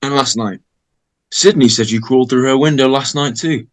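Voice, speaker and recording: A man's voice: mid-range voice, moderate pace, lightly acted. Man acting a stern, weary small-town sheriff. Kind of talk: amateur table read of a film script.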